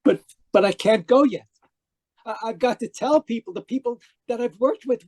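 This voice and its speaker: Performer as a distraught dead spiritualist, soft dark tone, earnest, dramatic, radio play, dry recording. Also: mid-range voice, normal speed, breathy edge, dry recording, close to an everyday voice. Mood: desperate, pleading. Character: distraught, newly dead spiritualist narrating the tale. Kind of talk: old-time radio mystery acting and narration.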